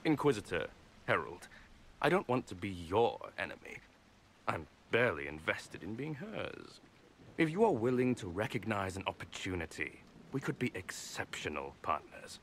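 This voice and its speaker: Deep-voiced, pompous noble villain. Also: male voice, very animated, normal speed, fairly bright tone, a little hiss or hum. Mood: condescending, persuasive. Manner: pompous, formal, patronizing. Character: smug, condescending male nobleman villain, smooth schemer. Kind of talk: video game character acting.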